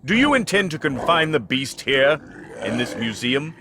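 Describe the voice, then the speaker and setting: Dark overlord, played by a man: disdainful, formal, widely swinging pitch, clear effects noise behind. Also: big pitch swings, moderate pace, close to an everyday voice. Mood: imperious intrigue. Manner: grandiose, haughty, formal. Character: imperious, ancient dark lord, disdainful villain. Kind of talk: comedic fantasy audio drama acting. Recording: loud sound effects behind voice.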